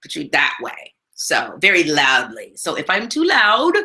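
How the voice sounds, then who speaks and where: A woman hosting a live stream from home, chatting casually with lots of fillers and a big, loud voice. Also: sits low, wildly swooping pitch, measured pace. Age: adult with a daughter. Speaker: live stream show host. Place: home, not her usual studio.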